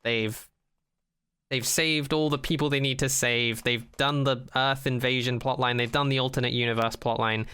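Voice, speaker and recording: A man with a bright, clean voice at an ordinary pitch chats casually as a podcast co-host. He is skeptical and opinionated, and he often doubles back to reword his point. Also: fairly even intonation, moderate pace, clean tone. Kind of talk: casual unscripted podcast chat.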